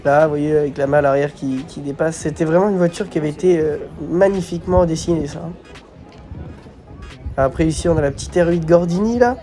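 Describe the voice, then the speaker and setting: A man's voice: fairly high pitch, fairly quick, warm tone, faint music behind. A man with a slight head cold gives casual vlog commentary as a host, hesitating and repeating words as he goes.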